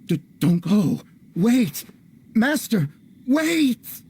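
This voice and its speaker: A man voicing a pompous, blustering, greedy merchant villain in a theatrical, melodramatic video game style, with a gravelly voice. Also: very high-pitched, sing-song, extremely slow, darkish tone, slight background noise, full character voice. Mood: desperate, pleading.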